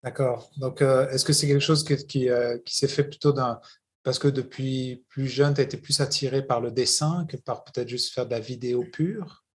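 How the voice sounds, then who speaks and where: A man poses long, meandering questions to his guest, circling before he lands. His voice is mid-pitched and plain, with a steady conversational delivery. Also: moderate pace. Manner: long winding questions, hesitant. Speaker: interviewer. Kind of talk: radio interview questions.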